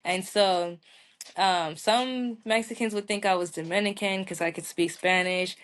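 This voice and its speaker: A woman's voice: mid-range voice, fairly even intonation, a little slow, fairly bright tone. An American woman talking candidly and informally as a guest in a casual interview, with colloquial phrasing. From United States. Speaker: podcast guest. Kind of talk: casual conversational interview answers.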